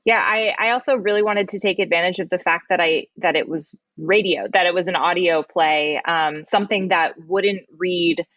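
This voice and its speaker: A woman's voice: on the low side, steady tone, moderate pace, few pauses, fairly bright tone, dry recording, muffled recording. A woman explaining her writing thoughtfully and conversationally as a playwright on an interview panel.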